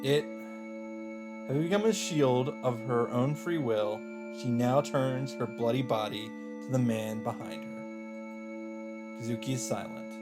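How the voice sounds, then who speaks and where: A man with a mid-pitched voice reads visual novel narration aloud as the host of a let's play. His delivery is that of someone narrating a story from the screen. Faint game music plays behind him. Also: unhurried.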